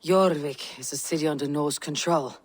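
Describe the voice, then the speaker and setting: An actress playing a stoic, proud Norse warrior woman in a video game, speaking in a terse, firm, measured way. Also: sits low. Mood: calm, resolute.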